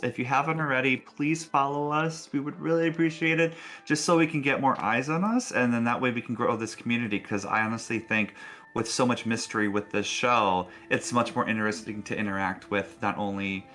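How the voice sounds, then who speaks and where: A man's voice: mid-range voice, fairly even intonation, fairly quick, a bit bright. A man hosting a tabletop role-playing show as game master, speaking casually and encouragingly as he addresses players and viewers. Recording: faint music behind. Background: faint music.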